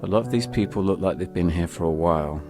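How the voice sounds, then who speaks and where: A man narrating a first-person audio memoir in a reflective way, in short, measured phrases. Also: low voice. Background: music.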